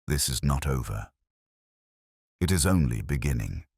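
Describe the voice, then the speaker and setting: A man voicing a calm, shrewd police inspector in a mystery story, speaking deliberately and with understatement. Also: extremely low pitch, vocal fry, breathy edge, dry recording, clean studio sound, pitched below the performer's own voice, heavily acted. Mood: quietly ominous.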